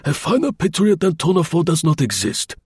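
A man voicing a gruff, boastful male revolutionary official who blusters. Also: on the high side, very animated, brisk, dry recording, clean studio sound, pitched above the performer's own voice, heavily acted. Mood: blustering.

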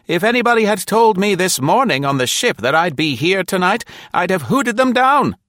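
A man's voice: sits high, sing-song, moderate pace, fairly bright tone, no echo, studio quality, pitched above the performer's own voice. A man voicing a bossy, defiant older woman who speaks majestically and firmly, in a storybook reading. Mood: imperious. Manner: majestic, firm, indignant.

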